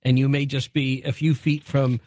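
A man hosting a call-in radio show, talking briskly and encouragingly as he hurries to fit in callers. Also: mid-range voice, little pitch movement, darkish tone, no room sound. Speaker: radio talk show host.

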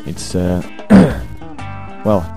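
A young Swedish man with a Swedish accent, hungover, rambling crude thoughts into a home mic in a hesitant, deadpan way. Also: low voice, drawn-out words, creaky. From Sweden. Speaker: amateur audio ranter. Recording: home recording with buzz and music.

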